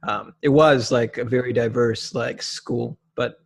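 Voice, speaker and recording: A young man from Minneapolis talking as a podcast guest, casual and animated, blunt, with slang. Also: medium pitch, a little slow, gravelly, husky. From Minneapolis, USA. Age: young adult. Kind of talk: casual conversation.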